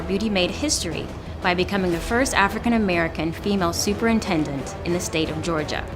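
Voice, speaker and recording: A woman with a fairly low voice narrates a written tribute. She reads it as scripted narration, with music clearly playing underneath her. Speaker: reader of nomination stories. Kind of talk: scripted narration read aloud. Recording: prominent music bed under the voice. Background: background music.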